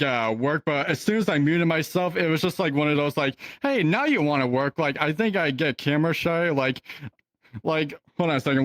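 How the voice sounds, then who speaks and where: A young man with a fairly high voice, recorded dry and close with no room sound. He talks casually as a podcast guest, rambling with plenty of fillers and restarts. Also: fairly bright tone, no echo. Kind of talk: casual chat.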